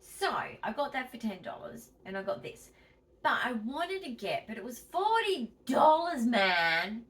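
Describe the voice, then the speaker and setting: A woman's voice: fairly high pitch, sing-song, measured pace, a bit bright, distant mic. An Australian woman chatting casually to her viewers in a home vlog, emphatic and chatty, and a bit wheezy. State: wheezy, tight-chested. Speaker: vlogger.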